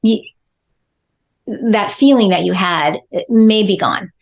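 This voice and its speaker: A woman hosting a podcast, with a middle-pitched voice. She asks long, winding questions and keeps restarting her sentences. She sums up her guest's points in a conversational way. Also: slow. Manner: rambling questions with many restarts. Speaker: podcast host. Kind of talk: podcast interview.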